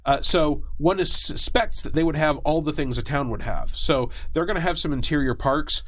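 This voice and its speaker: A man's voice: fairly high pitch, moderate pace, fairly bright tone. A man speaking as an expert guest, explaining fictional game lore casually, in detail and with a wry manner. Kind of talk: casual explanatory interview talk.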